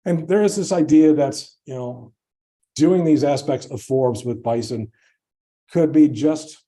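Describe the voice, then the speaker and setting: Man with a very dark, mellow voice. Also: on the high side, a little slow. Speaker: conservation scientist giving a presentation. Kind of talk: informal conference talk to an audience.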